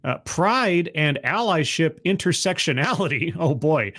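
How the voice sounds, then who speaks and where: A man's voice: on the high side, expressive, a little slow, a bit bright. A man casually commenting on a played video, sardonic and rambling, with many restarts.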